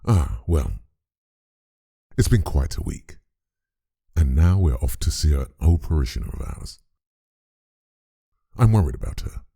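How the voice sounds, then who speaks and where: Very deep gravelly weary priest. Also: male voice, animated, measured pace, long pauses, mellow, exaggerated voice. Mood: weary, concerned. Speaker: voice actor. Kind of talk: audio drama acting.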